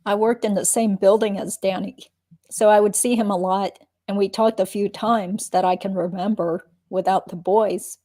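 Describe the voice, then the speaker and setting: Woman playing a deposition witness, dark relaxed timbre, medium pitch, guarded, measured, noncommittal. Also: lightly acted. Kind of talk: dramatic reading of a legal deposition.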